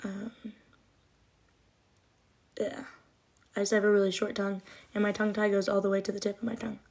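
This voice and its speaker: A young woman chatting casually into her phone from home during a livestream. She rambles through answers to viewer comments, leaning on lots of fillers, and speaks with a slight lisp and trouble with her R sounds. Her pitch sits in the middle range for a woman. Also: flat delivery, a little fast, a little mellow. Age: 20s. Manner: rambling and chatty, many likes and ums.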